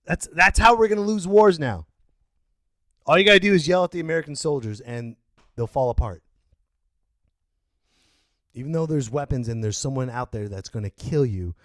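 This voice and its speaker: A man hosting a podcast, ranting loudly and bursting into sudden yells. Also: mid-range voice, no echo, clean studio sound. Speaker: podcast host. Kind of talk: opinion rant.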